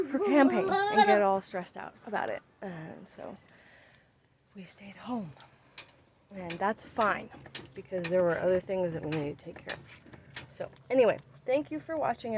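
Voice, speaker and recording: A woman's voice: medium pitch, a little mellow, slight background noise. A woman in her thirties chatting casually in a vlog, rambling and emphatic as she works outdoors in her backyard. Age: 30s. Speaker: vlogger.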